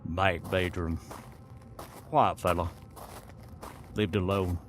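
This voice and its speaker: A man's voice: low voice, steady tone, slow, slight background noise. A man voicing a laconic small-town sheriff in an audio story, terse, understated and matter-of-fact. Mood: terse, sombre. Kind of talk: dramatic audiobook character voice.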